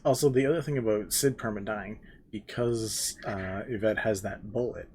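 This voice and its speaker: Man, game master, thinks out loud, lowish voice. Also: normal speed, husky. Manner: rambling, second-guesses himself. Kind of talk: casual podcast chat.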